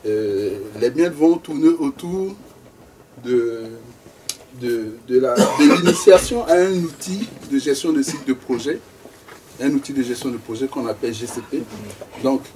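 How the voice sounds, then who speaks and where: Male facilitator, mellow mid-high voice, measured delivery, picked up from across the room with faint noise. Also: distant mic, poor audio. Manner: measured, repeats phrases for emphasis. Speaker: networking session facilitator. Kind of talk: informal presentation to a group. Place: meeting room in a bookshop.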